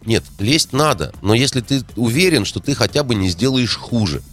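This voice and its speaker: A man's voice: mid-range voice, animated, moderate pace. A man in a heated political discussion, indignant, firing rhetorical questions and hesitating often.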